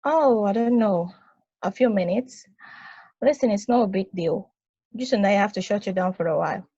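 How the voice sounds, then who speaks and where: Husky, wry old space probe Ten played by a woman. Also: medium pitch, moderate pace, mellow, dry recording. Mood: reassuring. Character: wry, weary, experienced ancient space probe named Ten. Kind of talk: stage play table read. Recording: dull, lo-fi close dry recording.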